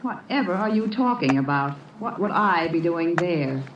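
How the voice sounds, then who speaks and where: A woman's voice: on the low side, expressive, moderate pace, far from the mic, lightly acted. An actress playing a suspicious, jealous, hot-tempered woman in an old-time radio drama. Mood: puzzled, guarded. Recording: noisy, muffled, echoey old radio recording.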